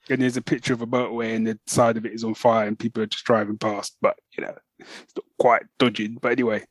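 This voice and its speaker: A man's voice: medium pitch, level tone, fairly quick, no echo. A middle-aged man, co-hosting a podcast in casual, matey, jokey banter. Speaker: podcast co-host.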